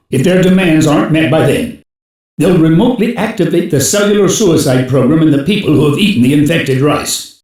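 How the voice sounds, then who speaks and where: An actor playing a stern, commanding older security chief who gives terse, authoritative briefings in an anime game. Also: male voice, on the high side, darkish tone, natural voice. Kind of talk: English-dubbed anime game voice acting. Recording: very echoey, reverberant game audio.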